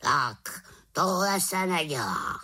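Woman voicing a croaky, scolding storybook raven. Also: fairly low pitch, sing-song, bright, forceful tone, pitched above the performer's own voice, full character voice. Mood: reproachful. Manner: croaky, drawn-out, reproachful. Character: grave, scolding male talking raven, witch's companion.

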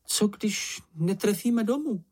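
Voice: mid-range voice, lively intonation, a little mellow, breathy edge, voice pushed up. A narrator voicing a small, timid male animal who frets and complains in a frightened, whiny manner. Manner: fretful, anxious, complaining.

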